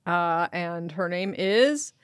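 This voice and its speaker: A woman hosting an improvised comedy podcast as its producer, matter-of-fact with deadpan asides. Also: fairly low pitch, slow, a loud crowd.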